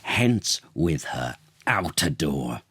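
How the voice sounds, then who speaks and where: A man voicing a jealous, tyrannical king with theatrical, commanding, drawn-out words for a children's storybook. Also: rumbling low, big pitch swings, fairly bright tone, husky, dry recording, heavily acted. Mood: furious contempt.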